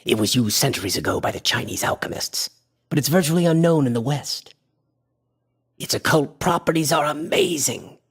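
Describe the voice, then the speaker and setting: An actor playing an obsessed, eccentric antiquarian visionary in a radio horror drama, with a husky, impassioned and pompous delivery. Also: male voice, a little high, lively intonation, moderate pace. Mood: grandiose, mystical.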